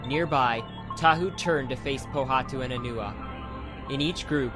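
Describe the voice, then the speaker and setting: A man's voice: mid-range voice, moderate pace, music in the background. A man narrating a dramatized fantasy audiobook as a scripted storyteller.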